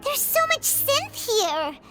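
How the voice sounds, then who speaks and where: Squeaky, very high childlike fairy. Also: female voice, very animated, takes it slowly, over music, full character voice. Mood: amazed, thoughtful. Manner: exclamatory, refers to herself in third person. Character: tiny excitable childlike female fairy companion, naive and dramatic. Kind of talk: animated video game dialogue.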